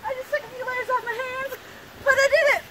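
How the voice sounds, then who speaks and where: Very high-voiced young woman, swearing. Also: steady tone, fast. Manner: swearing, self-mocking, laughing between lines. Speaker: playground challenge participant. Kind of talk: casual banter while climbing. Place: outdoor park playground. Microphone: phone camera held by someone else. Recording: noisy outdoor phone audio.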